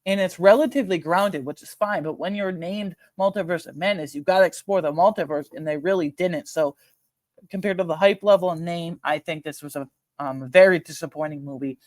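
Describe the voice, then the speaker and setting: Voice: mid-range voice, fairly quick, no room sound. Casual movie reviewer, dry close sound. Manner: emphatic, rambling, slangy, with self-interruptions and asides. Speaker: video host, film reviewer. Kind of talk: casual ranking commentary to viewers.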